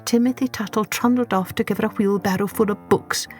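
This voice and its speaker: A woman narrating a children's story expressively, with deliberate, dramatic phrasing. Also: medium pitch, steady tone, darkish tone, soft background music.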